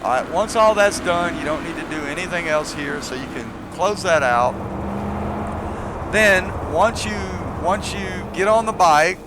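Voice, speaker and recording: A man's voice: fairly high pitch, lively intonation, a little slow, very noisy. A man giving a casual, unscripted video game tutorial, explaining step by step in a rambling way. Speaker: gamer giving a mod tutorial.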